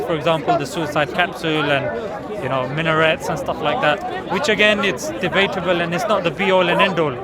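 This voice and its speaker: Man, street debater, earnest and patient, slightly high voice, loud crowd around him outdoors. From England. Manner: earnest, patient, explaining step by step. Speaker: street preacher debating visitors. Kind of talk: explanatory debate talk. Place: busy outdoor public park.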